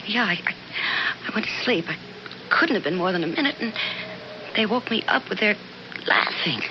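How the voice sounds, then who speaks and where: Actress as anxious wife, animated, muffled radio. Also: female voice, mid-range voice, normal speed, close to an everyday voice. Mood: frightened. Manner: hesitant, stammering, insistent. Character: anxious, insistent wife at a snowbound cabin. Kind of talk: 1970s radio drama acting. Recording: muffled, noisy 1970s radio recording.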